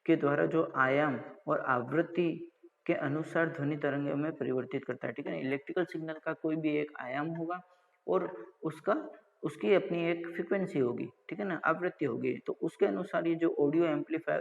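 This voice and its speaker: गूँजती, दबी रिकॉर्डिंग में राजस्थानी लहजे वाला पुरुष शिक्षक. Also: male voice, on the high side, fairly quick, vocal fry. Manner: didactic, asks and answers own questions, repeats check phrases. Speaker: college lecturer. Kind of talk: explanatory technical lecture. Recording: dull lo-fi audio with long reverb.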